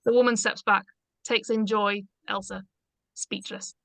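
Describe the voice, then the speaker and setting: A woman's voice: medium pitch, fairly even intonation, a little slow, gravelly, fairly bright tone, no echo. A woman reading stage directions aloud as scripted narration in a screenplay read-through.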